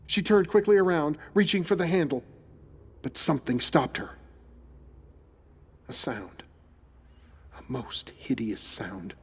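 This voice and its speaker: A man's voice: a little high, sing-song, a little slow, long pauses, breathy edge. A man narrating a scripted audio horror drama in a suspenseful, dramatic storytelling manner.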